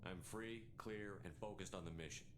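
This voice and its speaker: An actor playing a blunt, determined military commander in a video game, speaking tersely and assertively. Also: male voice, low voice, moderate pace, noisy background, natural voice. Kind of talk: cinematic video game acting.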